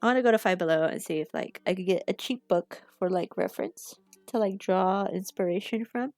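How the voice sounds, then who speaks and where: Sniffly, gravelly-voiced young woman rambling. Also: mid-range voice, a little fast, a little mellow, dry recording, faint music behind. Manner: chatty, rambling, loses her train of thought with many fillers. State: sniffly from allergies. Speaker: art streamer. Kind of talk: casual rambling livestream chat. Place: home. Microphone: headset mic.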